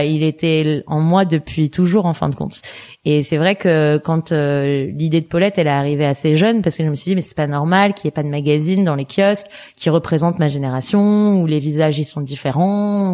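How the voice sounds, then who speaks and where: A woman in her thirties from the Paris region, speaking as an interview guest in a relaxed, reflective way, pausing often to find her words. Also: deep voice. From Paris region, France. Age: 30s. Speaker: guest, entrepreneur. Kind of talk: casual conversational interview.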